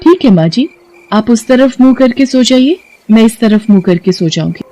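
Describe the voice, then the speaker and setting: A woman voicing a young witch who poses as a meek bride, soft and polite or coldly threatening, in a horror story. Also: a little high, animated, moderate pace, lightly acted. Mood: polite, composed. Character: young female witch posing as meek bride, turning menacing. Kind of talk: dramatised Hindi horror story acting.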